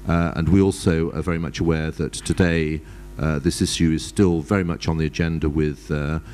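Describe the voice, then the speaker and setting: A man speaking as a panelist at a conference, in a measured, formal way with many hesitations. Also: extremely low pitch, steady tone, a little mellow, slight background noise. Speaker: panelist, ambassador.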